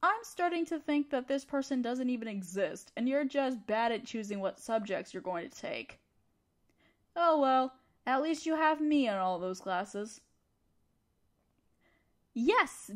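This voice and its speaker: Woman acting as female student crush, high voice, slow pace, teasing, encouraging, affectionate, audio roleplay. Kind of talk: intimate scripted roleplay acting addressed to the listener.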